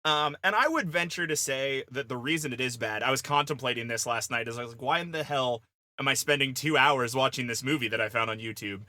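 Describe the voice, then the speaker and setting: A man with a bright, punchy voice at a medium pitch talks as a panelist on a casual comedy podcast. The sound is dry and close, and he leans into playful, emphatic exaggeration. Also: brisk, no room sound.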